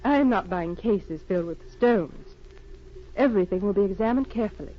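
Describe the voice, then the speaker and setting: A woman's voice: medium pitch, animated, brisk, lightly acted. Actress as a businesslike female agent, muffled old radio. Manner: crisp, frank, clipped sentences. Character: cool, businesslike female secret agent posing as arms buyer. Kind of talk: 1940s radio drama acting. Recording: dull, noisy old radio recording.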